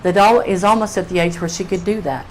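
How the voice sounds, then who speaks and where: Low-pitched older woman at a mic. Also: fairly even intonation, quick pace, darkish tone, poor audio. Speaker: resident giving public comment. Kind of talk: informal public testimony. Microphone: public comment microphone. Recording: faint room noise on meeting mic.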